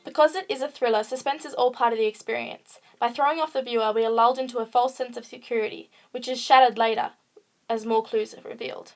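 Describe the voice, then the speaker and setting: A woman's voice: a little high, steady tone, fast talker, soft background music. A woman podcast host reading a scripted analytical essay about fiction aloud. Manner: reading aloud from a prepared text. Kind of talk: scripted essay narration, film and book analysis.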